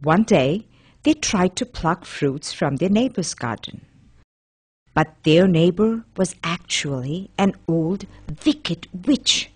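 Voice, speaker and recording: Husky, unhurried woman storyteller. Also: fairly low pitch, lively intonation, a little mellow. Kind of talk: scripted children's fairy tale narration.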